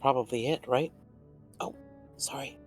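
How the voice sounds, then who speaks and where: A man's voice: mid-range voice, steady tone, measured pace, soft background music. A man taking part in a tabletop roleplaying game, speaking in casual table talk as a player.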